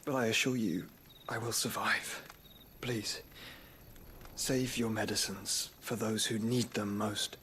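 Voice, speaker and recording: An actor playing a gentle, solemn young nobleman, weary and wounded, speaking formally in a soft, breathy voice near a whisper. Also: lowish voice, flat delivery, a little hiss or hum. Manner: measured, formal, reflective speech. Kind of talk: game cutscene acting.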